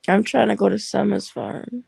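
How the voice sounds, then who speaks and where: A woman's voice: medium pitch, fairly even intonation, normal speed, gravelly, a little mellow, breathy edge, no echo. A woman joining a casual livestream chat, laid-back and agreeing.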